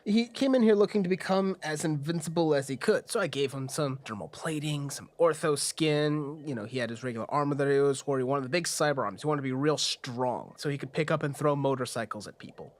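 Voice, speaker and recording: Man playing a matter-of-fact, higher-voiced shady surgeon. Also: fairly quick, few pauses, close to an everyday voice. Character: shady back-alley male cybernetics surgeon, businesslike, matter-of-fact.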